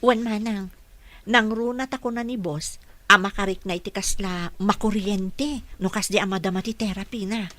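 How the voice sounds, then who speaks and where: An actress with a Filipino Ilocano accent playing an earnest, caring young caregiver in a radio drama. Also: female voice, medium pitch, fairly even intonation, moderate pace, fairly bright tone, no echo, lightly acted. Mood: earnest, worried.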